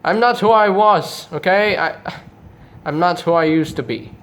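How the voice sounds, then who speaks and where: A man voicing a calm, hesitant robot defector in a low-key, casually apologetic way. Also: measured pace. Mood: hesitant, awkward.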